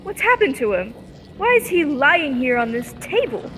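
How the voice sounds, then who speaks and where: A woman playing a spirited, caring young heroine in an old-time radio space serial, earnest and expressive. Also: sits high, moderate pace, big-room sound, soft background music, low-quality recording. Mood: alarmed, worried.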